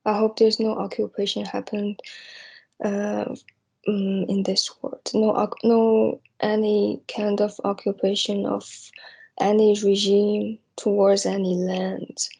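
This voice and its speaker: A young woman from East Asia speaking off the cuff and reflectively, hesitant and halting as she searches for words. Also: medium pitch, monotone, vocal fry, dark tone, husky, poor audio. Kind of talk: unscripted spoken reflection on personal hopes.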